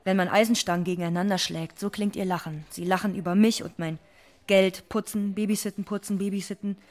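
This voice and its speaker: A woman's voice: mid-range voice, fairly even intonation, fairly quick, darkish tone, lightly acted. An actress playing a bitter, frightened young migrant cleaning woman in a radio drama monologue, in terse, insistent, repeating sentences. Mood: resentful.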